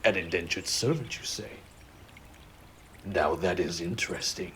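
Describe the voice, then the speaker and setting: An actor playing a benevolent, commanding king in a romantic audio drama, speaking in a formal, measured, courtly way. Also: low voice, fairly bright tone, slight background noise. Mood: stern, reassuring.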